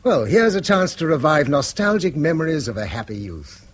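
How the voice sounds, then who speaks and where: An actor playing a proud, hard-up middle-aged English schoolmaster and husband in a radio drama, earnest, persuasive and slightly declamatory. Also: male voice, fairly high pitch, big pitch swings, normal speed. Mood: playful, persuasive. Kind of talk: British radio drama acting.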